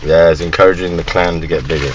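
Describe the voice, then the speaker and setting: A man's voice: sits low, steady tone, quick pace, noisy background. A man making casual amateur radio contacts, working down a list in radio jargon. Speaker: radio operator, video maker.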